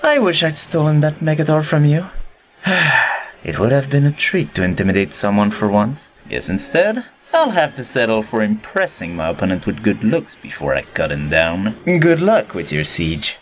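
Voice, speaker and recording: A man's voice: fairly low pitch, very animated, normal speed, muffled recording, slight background noise. A man playing a swaggering, flirty king. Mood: teasing. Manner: playful, teasing. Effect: magic orb message.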